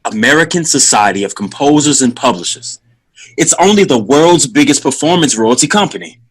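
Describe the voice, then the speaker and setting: Cocky young man, snide, condescending, husky, swooping intonation, theatrical audio drama delivery. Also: mid-range voice, expressive, brisk. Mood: mocking. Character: cocky, taunting young adult son of a bandleader.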